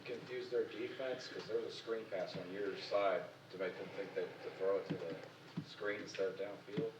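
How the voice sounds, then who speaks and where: A man, a sports reporter asking quick, chatty questions at a press conference. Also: lowish voice, fairly even intonation, background noise.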